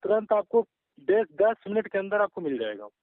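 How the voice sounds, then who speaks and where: A man's voice: high-pitched, big pitch swings, normal speed, dry recording. A man talking in a phone call recording in a pushy, curt and insistent way. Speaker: caller soliciting payment for a job listing. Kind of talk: phone conversation.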